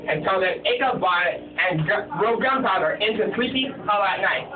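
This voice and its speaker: A man telling a spooky story aloud in an excited, dramatic way, halting and repeating himself in run-on sentences. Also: high-pitched, music in the background. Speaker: amateur storyteller. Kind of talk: excited spoken retelling of a spooky story, acting out cries and quotes. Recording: tinny phone-like sound, distant, echoey, loud chatter. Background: people talking loudly nearby.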